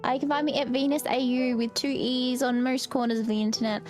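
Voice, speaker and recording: High-voiced woman streamer, chatty. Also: little pitch movement, fast, a little mellow, faint music behind. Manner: chatty, with stumbling restarts. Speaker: streamer and player. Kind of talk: casual chat.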